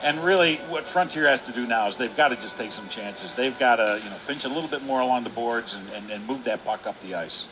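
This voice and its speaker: A man's voice: mid-range voice, a little fast, hardly any pauses, low-quality recording. A man gives unscripted hockey analysis as colour commentator, emphatically repeating words for stress. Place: indoor ice hockey arena. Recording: faint noise of live rink.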